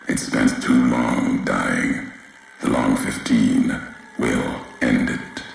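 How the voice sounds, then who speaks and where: A man voicing a solemn, brooding wasteland courier in a video game, very deep and gravelly, speaking in slow, cryptic, clipped fragments. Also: heavy reverb, slight background noise, full character voice, poor audio. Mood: grim, foreboding. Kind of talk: video game character monologue.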